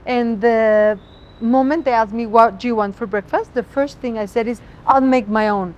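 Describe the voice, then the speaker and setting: A woman's voice: fairly high pitch, normal speed, darkish tone, lo-fi sound. A woman with a Mexican accent, native Spanish speaker, a chef talking expressively outdoors and sometimes searching for words. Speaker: chef, guest. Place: outdoors on a city street.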